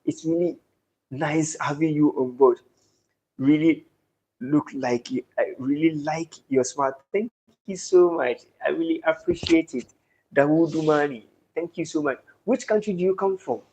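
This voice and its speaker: A Ghanaian man with a slightly raised, husky voice hosts a football live stream. He showers viewers with thanks and greetings. He declaims the state of the league tables. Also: a little high, moderate pace, warm tone, low-quality recording. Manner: declaiming sports talk. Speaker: live-stream sports host.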